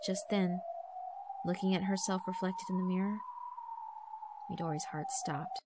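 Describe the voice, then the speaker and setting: A woman's voice: fairly low pitch, fairly even intonation, measured pace, music in the background. A woman narrator reading a horror visual novel as dramatic scripted storytelling.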